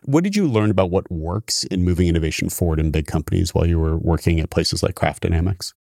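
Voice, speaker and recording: A man hosting an interview, asking probing, brisk questions about a guest's career. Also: low voice, lively intonation, vocal fry, a little mellow, dry recording, clean studio sound. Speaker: interview host.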